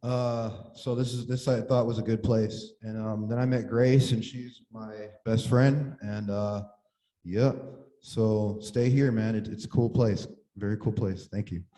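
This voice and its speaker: A man with a soft, dark-toned voice gives a casual, unprepared introduction. He talks haltingly and informally into a church microphone, with long reverb around him. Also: on the low side, steady tone. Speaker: church member speaking as volunteer.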